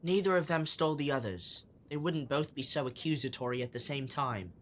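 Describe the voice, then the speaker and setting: A performer playing a quick, sharp-witted street boy who leads a gang of urchins and reasons things out briskly. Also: fairly high pitch, close to an everyday voice. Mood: probing. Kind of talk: audio drama acting.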